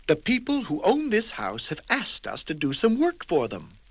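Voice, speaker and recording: Male reader as a prim bossy handyman, raised pitch, lilting delivery, crisp manner, storybook record, music underneath. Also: huge pitch swings, moderate pace, no echo, heavily acted. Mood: polite.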